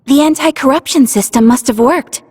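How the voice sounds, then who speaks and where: An actress playing a caring, earnest young female squad member with a husky voice in a video game cutscene. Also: sits high, normal speed, darkish tone, big-room sound, lightly acted. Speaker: voice actor.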